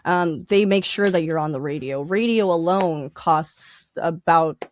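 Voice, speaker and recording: A woman's voice: fairly low pitch, measured pace, a little mellow, slight background noise. A woman guest in a casual podcast interview, explaining things emphatically and repeating her key points. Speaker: podcast guest, independent music artist.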